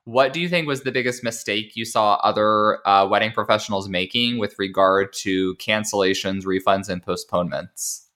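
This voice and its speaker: A man's voice: lowish voice, moderate pace, few pauses, bright, forceful tone, smooth. A man guesting on a podcast over a call, chatting casually about his business with lots of fillers. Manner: chatty, informal, frequent "like" and "um". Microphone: remote call.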